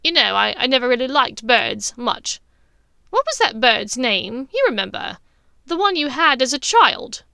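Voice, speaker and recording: A woman's voice: sits high, big pitch swings, a little slow, vocal fry, sharp tone, clear, resonant tone, no room sound, clean studio sound, voice pushed up, full character voice. A woman voicing a brash, suspicious, scornful villainous witch in an audiobook-style story, drawling with false casualness. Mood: pointed, probing.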